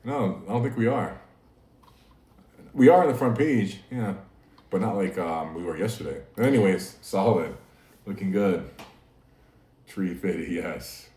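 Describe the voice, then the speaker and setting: A man's voice: low-pitched, unhurried, long pauses. Man streaming casually in an echoey room. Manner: relaxed, talks to chat, laughs often. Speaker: livestream host. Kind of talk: casual livestream chat. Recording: echoey room, mic at a distance.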